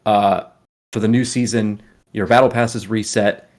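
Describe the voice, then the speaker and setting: A man with a fairly low voice talks casually as a podcast co-host. He explains things at length in an informal, conversational way and swears offhandedly. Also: level tone, normal speed. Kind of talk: casual gaming podcast chat.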